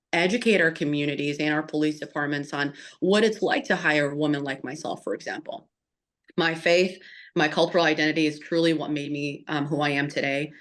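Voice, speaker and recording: A woman's voice: deep voice, fairly bright tone. A young woman from the Chicago area, a police detective, giving an earnest, passionate interview answer and often restarting her phrases. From Chicago area, USA.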